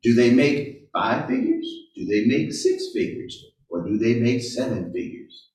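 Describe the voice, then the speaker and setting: A man preaches to a live group and keeps firing off rhetorical questions, tagging his points with "right?". His voice is at a middle pitch and sounds distant from the microphone. Strong room echo surrounds everything he says. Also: huge pitch swings, a little slow, a little mellow. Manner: asks rhetorical questions and tags sentences with "right?". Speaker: pastor or Bible teacher. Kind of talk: interactive sermon. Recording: roomy echo, far from the mic.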